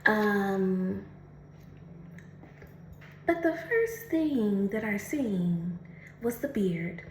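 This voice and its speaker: A woman doing a casual oracle card reading, chatty and laughing often, who calls the listener honey and reads the card text slowly and carefully. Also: mid-range voice, animated, darkish tone, reverberant, a little hiss or hum.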